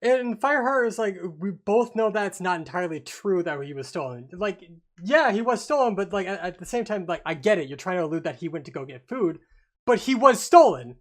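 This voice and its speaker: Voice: mid-range voice, expressive, a little fast. A podcast host in a casual book club discussion, talking informally and swearing freely.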